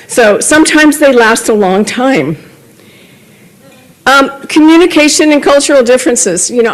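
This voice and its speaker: A woman's voice: on the high side, low-quality recording. Woman reporting at a club meeting. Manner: conversational, with self-corrections and small laughs. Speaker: club member reporting on a grant project. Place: meeting room, at a podium.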